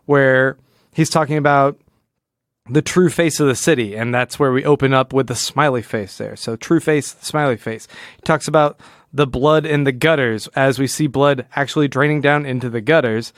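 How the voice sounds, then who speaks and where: A man's voice: mid-range voice, moderate pace, no room sound, clean studio sound. A man co-hosting a podcast, talking casually and analytically, often rephrasing himself as he explains. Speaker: podcast co-host.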